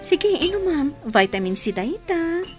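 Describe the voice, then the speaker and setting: An actress playing a kind, gentle woman who reassures a child and plans to be her stepmother. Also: very high voice, very animated, unhurried, soft background music, heavily acted.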